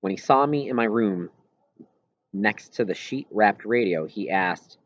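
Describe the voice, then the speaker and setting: Male audiobook reader, lowish voice, first-person narration, slightly dull lo-fi recording. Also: lively intonation, measured pace. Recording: roomy echo, slightly dull lo-fi sound.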